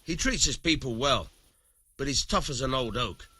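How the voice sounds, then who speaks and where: An actor playing a cynical, rough-tongued medieval commoner with a husky voice and colloquial, emphatic delivery in a video game. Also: male voice, mid-range voice, expressive, moderate pace, bright, forceful tone. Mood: scornful, blunt. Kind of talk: video game dialogue acting.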